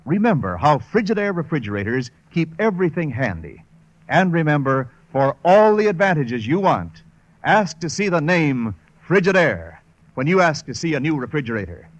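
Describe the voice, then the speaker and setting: Male vintage radio announcer: slightly high, swooping intonation, polished emphatic sponsor read. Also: very animated, moderate pace.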